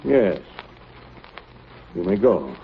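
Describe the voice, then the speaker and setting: Naval captain, authoritative and formal, vintage radio drama, narrow tinny band, faint hiss. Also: male voice, sits low, big pitch swings, drawn-out words, gravelly, heavily acted. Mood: stern restraint. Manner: formal, commanding. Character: stern, authoritative navy captain of an icebound ship. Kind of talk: 1930s radio drama acting. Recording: noisy, tinny 1930s radio broadcast.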